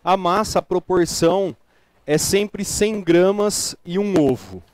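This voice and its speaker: Higher-voiced chef explaining a recipe. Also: male voice, takes it slowly, no room sound. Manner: explanatory, with tag questions. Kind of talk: cooking demonstration.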